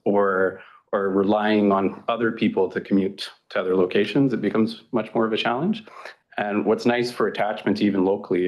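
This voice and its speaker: Very low gravelly-voiced man. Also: rough recording. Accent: Canadian. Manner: repetitive, rambling. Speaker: family doctor. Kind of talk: answering council questions. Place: council chamber.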